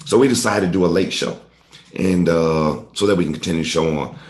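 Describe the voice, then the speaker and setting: A man hosting a casual local talk show, his voice a little raspy and hoarse from hay fever. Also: sits low, a little fast, darkish tone. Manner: loose, conversational, informal phrasing. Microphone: studio mic.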